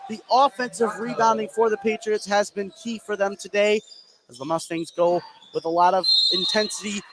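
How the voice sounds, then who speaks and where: A man's voice: fairly even intonation, normal speed. A man doing live play-by-play radio commentary of a basketball game, lifting his voice on big plays. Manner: voice rises into shouts on big plays. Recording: clear gym noise behind the voice. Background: indoor high school gymnasium ambience.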